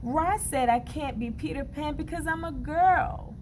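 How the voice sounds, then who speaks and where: A woman's voice: high voice, unhurried, noisy background, voice pushed up. A woman voicing an eager, determined young girl who loves make-believe, in a storybook read-aloud. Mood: dejected.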